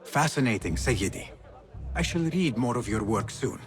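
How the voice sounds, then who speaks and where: An actor playing a polite, measured young assassin from medieval Baghdad in a video game, speaking formally. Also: male voice, medium pitch, lively intonation, some chatter behind, lightly acted. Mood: courteous, smooth. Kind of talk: video game cutscene acting.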